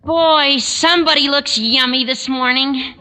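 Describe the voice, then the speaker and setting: An actor playing an eager, gawky sixteen-year-old schoolboy full of gee-whiz boyishness in a 1940s radio comedy. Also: male voice, very high voice, fairly even intonation, measured pace, pushed and bright, big-room sound, heavily acted.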